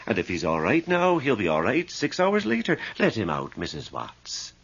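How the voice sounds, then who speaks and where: An actor playing an anxious, principled priest in a radio drama, speaking formally and with stern insistence. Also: male voice, lowish voice, wildly swooping pitch, moderate pace, gravelly, fairly bright tone, slightly rough, full character voice. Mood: worried, insistent. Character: anxious, principled priest confronting a stubborn woman. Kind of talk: 1980s radio drama acting. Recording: slightly dull 1980s radio recording.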